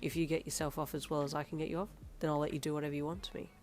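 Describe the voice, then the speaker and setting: A cocky young boyfriend, put on by a woman, speaking in a lowered, softened voice. He leers and teases, smug and self-assured in an audio story. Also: flat delivery, rapid-fire, soft background music, close to an everyday voice. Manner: low, smug, leering.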